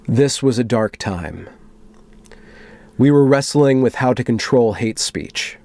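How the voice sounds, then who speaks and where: A man with a mid-pitched voice in a very dry recording space reads text aloud for a commentary video. His delivery is deliberate and theatrical, loosened by offhand, wry remarks in a conversational tone. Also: darkish tone, dry recording. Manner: deliberate, dramatic read-aloud broken by wry, conversational asides. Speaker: commentary vlogger. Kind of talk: reading a written post aloud with offhand commentary.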